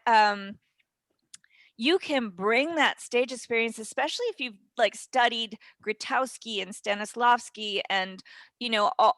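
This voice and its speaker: A woman speaking in an animated, emphatic way as a guest acting teacher, answering casually in an interview. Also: a little high, no room sound.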